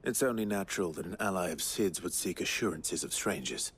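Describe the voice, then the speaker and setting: An actor in a cinematic video game playing a stoic, determined traveller, blunt, measured and level-headed. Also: male voice, deep voice, animated, a bit bright, slight background noise. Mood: measured, wary.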